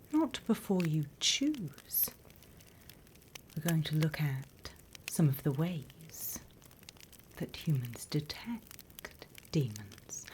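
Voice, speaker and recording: Woman playing a slow, whispering British demon teacher. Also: low-pitched, a little mellow, slight background noise. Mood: instructive. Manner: slow, deliberate, teacherly, teasing menace. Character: sly demon teacher briefing little demon trainees, mock-stern. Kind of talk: whispered ASMR roleplay.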